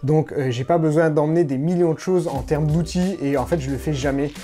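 A man's voice: a little high, brisk. A man in his thirties vlogging to the camera from a room at home, talking casually and slangily with lots of fillers. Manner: chatty, informal, slangy and animated, lots of fillers. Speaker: motorcycle vlogger.

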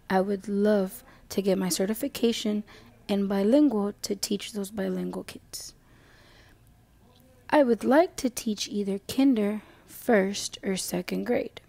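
A young woman with a Mexican background reading a prepared self-introduction as an education student, slowly and carefully. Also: mid-range voice, creaky, mellow. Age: young adult. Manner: slow, careful reading, switching to Spanish for a passage.

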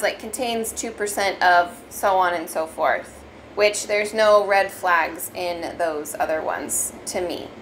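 A woman's voice: fairly high pitch, a little hiss or hum. A young adult woman casually reviewing keto snacks at her dining table, chatty and explaining step by step. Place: dining room at home, indoors.

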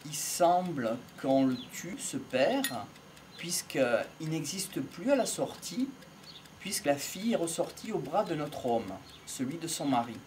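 A middle-aged man gives an improvised, reflective monologue in a measured way, pausing between short phrases. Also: fairly high pitch, expressive, darkish tone, slight background noise. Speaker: amateur lecturer on psychology and philosophy. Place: outdoors in an open field by trees. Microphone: small wired mic held near the mouth.

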